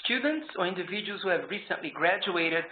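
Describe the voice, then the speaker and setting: A man with a somewhat high voice moderates and hands over to each speaker in a smooth, formal way. The sound is tinny like a phone line and echoes in a hard room, with a faint hiss. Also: lively intonation, fairly quick. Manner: smooth, formal hand-overs. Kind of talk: presenting speakers.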